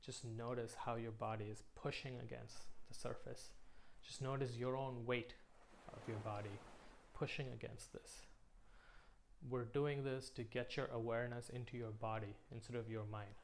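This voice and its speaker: A man leading a guided meditation in a slow, soothing manner, pausing between short phrases. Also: mid-range voice, little pitch movement, vocal fry, slight background noise.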